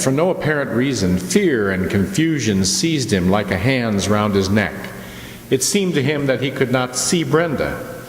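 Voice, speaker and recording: A man's voice: medium pitch, natural voice. A man playing the grave narrator of a ghostly radio play, storytelling in a dramatic scripted style. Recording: echoey hall, faint noise.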